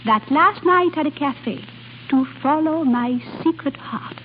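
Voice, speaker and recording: High-voiced, playful young Frenchwoman. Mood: wistful, insistent. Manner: halting English mixed with French exclamations. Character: playful, impulsive young Frenchwoman posing as an aristocrat. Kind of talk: 1930s-40s radio drama acting. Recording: tinny, hissy old radio broadcast.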